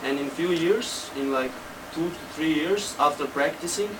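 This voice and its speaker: A man in his twenties with a Slovenian accent answers interview questions casually, in halting, simple non-native English. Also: fairly high pitch. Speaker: interview guest, techno DJ and producer. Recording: distant mic, loud background hum.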